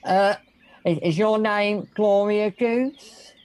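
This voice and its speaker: A woman playing an officious but placating bailiff in a radio pantomime, formal and halting. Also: medium pitch, fairly even intonation, darkish tone, slight background noise, lightly acted.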